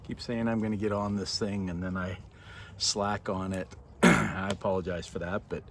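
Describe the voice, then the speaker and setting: A man's voice: low-pitched, steady tone, normal speed. An older man from Norway, raised partly in Canada, speaking casually and reflectively in a hesitant, sincere, unscripted way. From born in Norway, moved to Canada as a child. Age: 50s to 60s. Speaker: vlogger. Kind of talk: casual reflective monologue to camera. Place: outdoors by a fire in a yard at night. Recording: noisy outdoor ambience.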